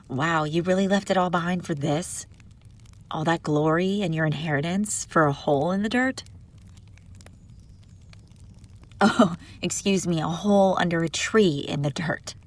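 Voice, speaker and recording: A woman's voice: lowish voice, lightly acted. A woman playing a haughty, bratty young runaway princess in a roleplay audio drama, sarcastic and bickering with the listener. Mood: mocking, teasing.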